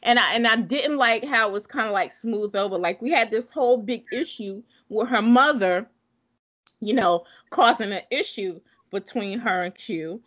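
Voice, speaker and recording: A woman's voice: a little high, normal speed, fairly bright tone, highs cut off. A woman co-hosting a casual book discussion, talking emphatically and bluntly, often repeating herself to drive a point home.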